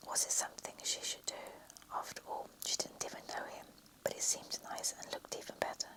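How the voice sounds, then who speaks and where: Whispered story reading, soft unvoiced hush, small slips and restarts, ASMR style. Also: a little fast. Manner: reads with small slips and self-corrections.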